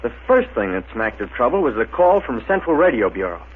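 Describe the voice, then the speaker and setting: A man's voice: medium pitch, sing-song, fairly quick, natural voice. An actor playing a decisive, hearty young male space captain, plain-spoken and emphatic, in a 1930s radio serial. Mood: decisive, keen. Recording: muffled, noisy old 1930s radio.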